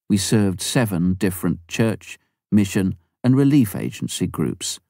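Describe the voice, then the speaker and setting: A man with a very low voice reads a memoir as an audiobook, measured and earnest. The recording is close and dry, with no room sound. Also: dark tone, dry recording. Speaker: audiobook reader. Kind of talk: first-person memoir narration.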